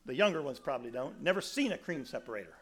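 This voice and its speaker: A man in his sixties with a raspy voice, preaching a sermon in a folksy storytelling way with many restarts. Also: mid-range voice, big pitch swings, quick pace, a bit bright.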